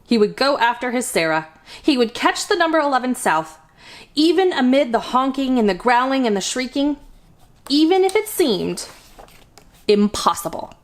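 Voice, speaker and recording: Expressive, high-pitched woman reading a children's story. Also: moderate pace. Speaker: children's storytime host and reader. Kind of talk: picture-book read-aloud.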